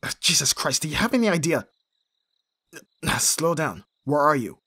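A man's voice: fairly high pitch, sing-song, moderate pace, bright, forceful tone, breathy edge, dry recording, full character voice. A man playing an abrasive but protective bodyguard in an audio roleplay, blunt, sardonic and informal. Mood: alarmed, confused. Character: gruff tsundere male bodyguard, abrasive and protective. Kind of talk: scripted ASMR audio roleplay.

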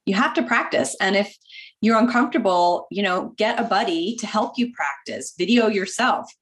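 A woman in her forties, a doctor guest on a video call, explaining practical tips in a clear, instructive way through wired earbuds. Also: mid-range voice, expressive, moderate pace. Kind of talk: interview answers, practical tips. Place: home office with bookshelf.

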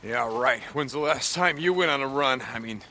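An actor playing a gruff, guarded biker turned soldier in a video game, gravelly, terse and dryly muttering. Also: male voice, mid-range voice, normal speed, sharp tone, a little hiss or hum.

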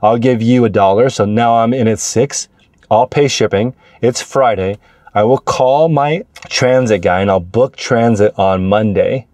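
Low-pitched American man, dry close sound. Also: a little slow, darkish tone, no room sound. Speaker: host, reseller vlogger. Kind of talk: casual explanatory monologue to camera. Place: inside a parked car. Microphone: lapel microphone clipped to shirt.

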